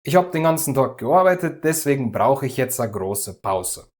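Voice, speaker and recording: A man from the Düsseldorf area hosting a language lesson, explaining calmly and clearly in a scripted way. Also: mid-range voice, normal speed. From Düsseldorf area, Germany. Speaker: language teacher, host.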